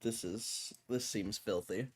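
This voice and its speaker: A man running a casual stock market live stream, losing his voice, clearing his throat often and swearing offhandedly as he reads and reacts. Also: fairly low pitch, slow pace. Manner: laid-back, swears casually, mutters while reading.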